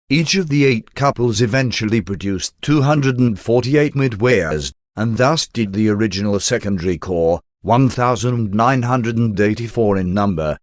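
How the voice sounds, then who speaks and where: A man's voice: fairly low pitch, dry recording. Formal male narrator, very dry sound. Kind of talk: scripted audiobook narration.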